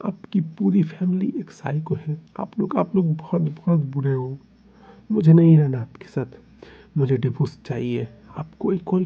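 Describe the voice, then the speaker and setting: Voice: mid-range voice, vocal fry, breathy edge, narrow, tinny audio. Narrator voicing a hurt young man, accusing. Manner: accusing, upset.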